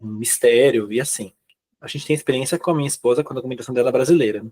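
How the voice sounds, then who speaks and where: A man's voice: mid-range voice, rapid-fire, darkish tone, breathy edge. A Brazilian man speaking Portuguese with a Brazilian accent, casually recounting personal experience as a guest in a conversation. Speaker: guest, immigrant to Uruguay.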